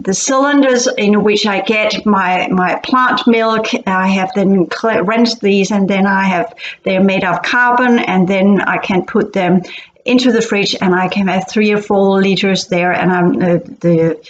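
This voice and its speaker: A woman from Denmark, not a native English speaker, talking casually as host and often pausing to search for words. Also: medium pitch, steady tone, slight background noise. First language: Danish. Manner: hesitant, searching for words with many fillers and restarts. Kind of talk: casual explanatory talk. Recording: echoey, dull-sounding room recording.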